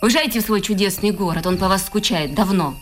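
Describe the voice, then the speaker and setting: An actress in a Russian radio drama playing a proud, teasing village farm manager with a wistful streak. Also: female voice, medium pitch, fairly quick, a little hiss or hum, lightly acted. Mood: bitter, wistful.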